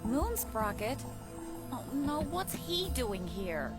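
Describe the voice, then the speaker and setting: A woman's voice: sits high, very animated, takes it slowly, loud music behind the voice, heavily acted. An actress playing an exasperated female space-station doctor with a wry, politely guarded manner. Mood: wary, wry.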